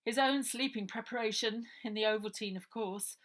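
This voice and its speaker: A woman's voice: a little high, moderate pace, fairly bright tone, voice pushed up, close to an everyday voice. A woman voicing an earnest, hesitant, heroic boy in a children's storybook reading. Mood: explaining.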